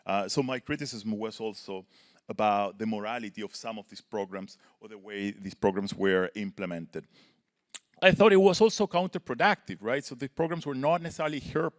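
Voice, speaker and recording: A man speaks in a fairly low voice, laying out an argument on a discussion panel. He talks earnestly and keeps checking in with his listeners by tagging "right?" onto his points. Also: brisk. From Chile. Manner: earnest, arguing a point, often tags "right?". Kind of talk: panel talk, prepared remarks.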